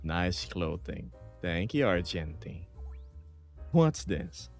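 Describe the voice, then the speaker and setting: A man's voice: low-pitched, very animated, takes it slowly, faint music behind. A man in his thirties streaming from home, chatting casually and reading chat messages aloud with frequent laughs.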